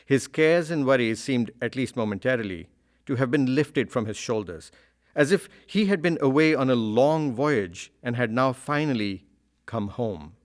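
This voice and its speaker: A man playing the formal, measured narrator of a Victorian adventure in an audio drama. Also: mid-range voice, animated, close to an everyday voice. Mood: solemn, wondering.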